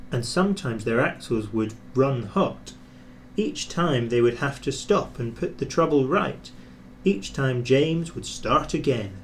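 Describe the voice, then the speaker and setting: A man narrating a children's storybook in an expressive scripted reading. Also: mid-range voice, slight background noise. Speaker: storybook reader.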